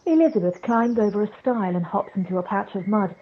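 A woman's voice: medium pitch, fast, slight background noise. A woman reading scripted narration for a radio play over a video call. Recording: dull video-call audio.

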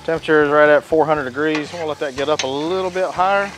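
A man's voice: fairly high pitch, steady tone, rapid-fire, a bit bright, slight background noise. A man, chatting casually while cooking outdoors on a beach for his cooking channel, on a clip-on lapel mic. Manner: folksy, chatty, says "y'all" often.

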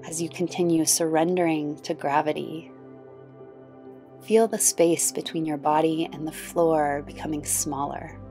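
Low, slow-spoken woman guiding meditation over music. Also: little pitch movement. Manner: soothing, gentle, unhurried. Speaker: yoga nidra guide. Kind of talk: guided meditation. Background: background music.

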